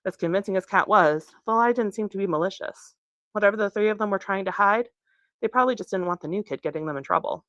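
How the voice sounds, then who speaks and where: A woman reading narration from a young adult novel aloud in an expressive storytelling way. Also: mid-range voice, fast talker, dry recording, muffled recording. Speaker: author reading from their book.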